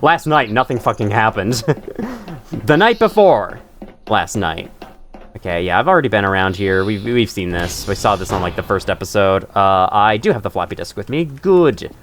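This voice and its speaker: A young man talks through a game in loose, rambling commentary, swearing now and then. His nose sounds stuffy, and his pitch swings widely up and down as he chats. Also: expressive, normal speed, fairly bright tone. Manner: rambling, chatty, swears casually. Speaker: gaming channel host. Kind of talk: casual let's-play commentary.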